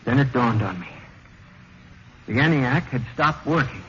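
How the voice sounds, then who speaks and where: A man's voice: mid-range voice, expressive, unhurried, fairly bright tone, slightly rough, full character voice. An American actor playing a haunted, obsessive office computer man, narrating his own story in melodramatic 1950s radio drama style. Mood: grim, haunted. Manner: melodramatic, portentous delivery. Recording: muffled vintage 1950s radio recording. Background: dramatic music.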